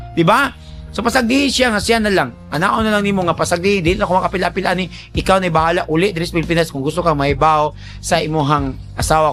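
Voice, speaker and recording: High-pitched man. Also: a bit bright. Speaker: radio host giving advice to listeners. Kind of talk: conversational advice on a radio drama programme.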